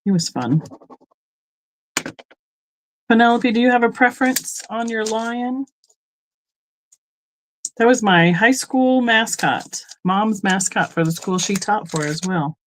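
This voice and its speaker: A woman's voice: on the high side, lively intonation, takes it slowly, long pauses, a little hiss or hum, low-quality recording. A middle-aged woman from Colorado hosting a live online sale, chatting casually and rambling into asides with frequent ums. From Colorado, United States. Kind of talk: casual live-stream selling chat.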